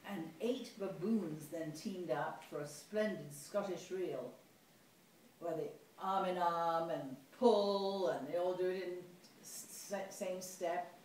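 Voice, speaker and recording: Slow, echoey woman reading a picture book. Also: fairly low pitch. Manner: expressive, playful. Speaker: storyteller reading to a child. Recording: echoey room, distant mic, faint noise.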